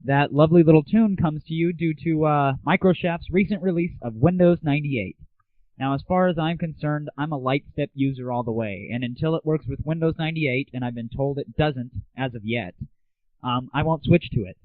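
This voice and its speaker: A man's voice: a little high, normal speed, no room sound. A man hosting a casual solo show, giving blunt, opinionated commentary peppered with swearing. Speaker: show host. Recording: narrow, phone-like lo-fi audio.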